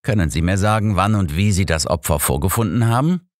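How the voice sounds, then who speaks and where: Audiobook old detective, read by a man: low, dry sound, commanding and curt. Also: animated, a little fast, dry recording. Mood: brisk, assured. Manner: assured, didactic, curt. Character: brisk, knowing old male detective, commanding and gruff. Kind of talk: audiobook character dialogue.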